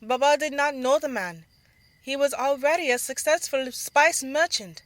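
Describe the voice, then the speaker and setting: Protective older sister in a story podcast, serious young woman, raised pitch, acted by a female narrator. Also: moderate pace, a bit bright, dry recording. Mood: reminiscing. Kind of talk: audio drama storytelling.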